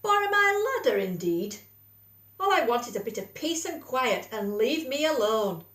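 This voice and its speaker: A woman's voice: medium pitch, lively intonation, a little slow, a bit bright. A woman voicing a grumpy male badger from a picture book, huffing and scornful as she reads him aloud. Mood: huffy. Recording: echoey room, distant mic.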